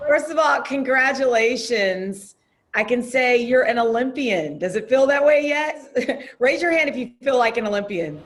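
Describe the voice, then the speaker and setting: A woman interviewer with a fairly high voice speaks in a conversational broadcast style. There is clear noise and some clicking behind her. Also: lively intonation, normal speed. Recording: clearly noisy background with clicks.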